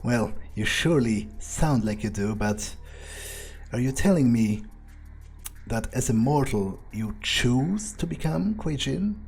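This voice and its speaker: A man's voice: medium pitch, expressive, creaky, a little mellow, husky, heavily acted. A man roleplaying a guarded, blunt, sardonic vampire in a video game, with a wry, hesitant, thinking-aloud delivery. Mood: sardonic, probing. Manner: wry, unhurried, hesitant musing, casual swearing. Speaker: let's player. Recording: faint music behind the voice. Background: faint game music.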